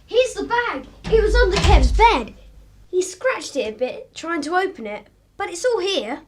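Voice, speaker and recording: Woman acting an eager, high-pitched boy. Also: sing-song, a little slow, background noise, heavily acted. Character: eager, curious young boy, chatty and whiny. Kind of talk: radio drama acting.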